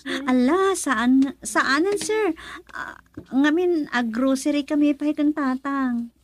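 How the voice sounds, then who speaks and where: A woman's voice: high voice, slow. An actress playing a polite, deferential young working woman in a radio drama. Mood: polite, shy.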